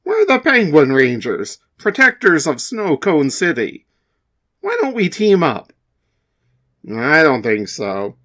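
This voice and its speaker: A man's voice: on the high side, wildly swooping pitch, takes it slowly, pushed and bright, exaggerated voice. A man voicing an arrogant male superhero team leader who talks down to rivals. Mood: dismissive. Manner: condescending, dismissive.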